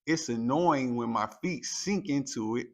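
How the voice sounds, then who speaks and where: A man's voice: mid-range voice, unhurried, natural voice. Man as grumbling, scornful naughty child. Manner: muttering, scornful complaining. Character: grumbling, neglected naughty children trying to seem tough.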